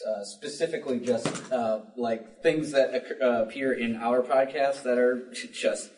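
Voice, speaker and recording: A man chatting on a discussion panel in a library room, informal and halting in his delivery. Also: mid-range voice, fairly even intonation, darkish tone, slight background noise. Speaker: audio drama writer on a panel. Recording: echoey room, distant mic.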